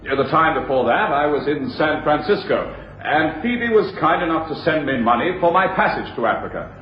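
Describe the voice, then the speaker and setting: An actor playing a composed middle-aged claimant in a radio drama, testifying formally and precisely. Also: male voice, a little high, animated, moderate pace, natural voice. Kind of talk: 1980s radio drama acting. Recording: echoey, dull radio broadcast, faint noise.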